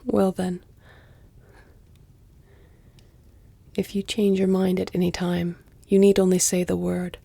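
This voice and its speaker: A woman voicing an elegant, flirtatious vampire in an intimate audio roleplay, with a hushed, breathy, deliberate and formal delivery. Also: lowish voice, dry recording, full character voice. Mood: soothing, hungry. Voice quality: breathy, hushed, often near whisper. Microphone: close, dry microphone.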